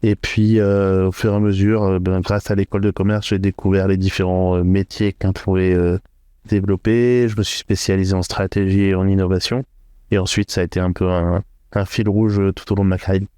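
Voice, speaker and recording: A middle-aged man from northern France answering interview questions, thoughtful and hesitant, with frequent filler pauses. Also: deep voice, flat delivery, dark tone, clean tone, dry recording. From France, Hauts-de-France. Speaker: podcast guest, entrepreneur. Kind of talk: interview conversation.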